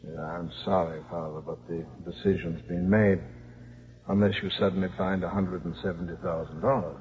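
Actor as deep-voiced, gentle church official. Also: male voice, steady tone, normal speed, natural voice. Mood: apologetic. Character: apologetic, soothing male church official. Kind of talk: 1950s radio drama acting. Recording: muffled 1950s radio broadcast.

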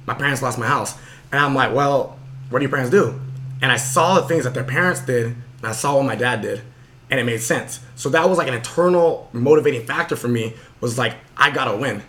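A man's voice: medium pitch, pushed and bright. A young man hosting a podcast, telling a motivational story in a casual, earnest way with lots of fillers. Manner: fast, earnest, full of fillers. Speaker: podcast host.

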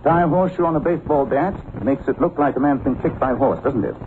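Muffled hissy old radio, a man acting suave male detective, shrewd, wry, unhurried, swooping intonation. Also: medium pitch, lively intonation, close to an everyday voice. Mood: cool, accusing. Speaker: radio drama lead actor.

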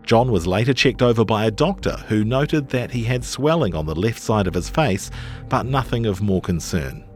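A man's voice: lowish voice, animated, moderate pace, faint music behind. A man with a New Zealand accent reading scripted journalistic narration for a podcast. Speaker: narrator of a long-read podcast.

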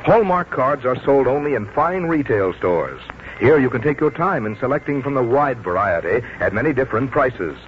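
A man's voice: medium pitch, animated, normal speed. A man working as a 1940s radio announcer, reading scripted commercial copy with polished promotional delivery. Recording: dull, noisy vintage radio broadcast.

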